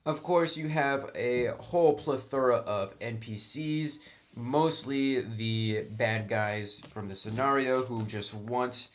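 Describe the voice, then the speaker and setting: Man giving an informal game review. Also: mid-range voice. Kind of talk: informal product review commentary.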